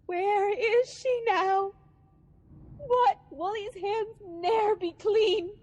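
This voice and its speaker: A haunted sleepwalking noblewoman in a Shakespearean radio play. Her voice is high and very slow. She mutters in broken fragments, then breaks into imperious, guilt-racked outbursts. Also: a little mellow, highs cut off, full character voice. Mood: anguished, pleading. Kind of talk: Shakespearean radio drama acting.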